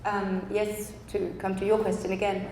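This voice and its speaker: A German photographer speaks at a public talk, chatty and quick to laugh, acting out the people she quotes. Her voice is mellow and dark. The sound is roomy, with a long hall reverb and faint ambience. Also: medium pitch, flat delivery, a little hiss or hum. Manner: chatty, laughs often, acts out quoted dialogue. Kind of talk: panel talk about her photo project.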